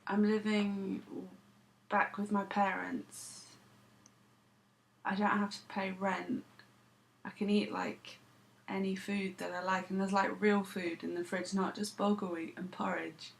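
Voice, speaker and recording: A woman's voice: mid-range voice, level tone, a little slow, vocal fry. A woman, vlogging to camera in a dry, self-deprecating, sarcastic way. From United Kingdom. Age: 30s. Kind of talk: casual talking to camera.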